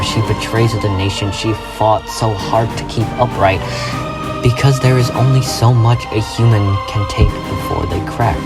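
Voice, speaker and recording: A man with a fairly deep voice narrates a scripted video essay. He phrases his theory like a dramatic story, as a creator presenting to followers. Loud music plays behind him throughout.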